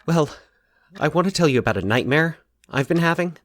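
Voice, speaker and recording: A man voicing an anxious, halting man confessing a recurring nightmare in a horror audio story. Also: on the high side, expressive, a bit bright, dry recording, clean studio sound, voice pushed up. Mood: nervous, hesitant. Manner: nervous, halting, stumbling over his words. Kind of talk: dramatized horror audio fiction.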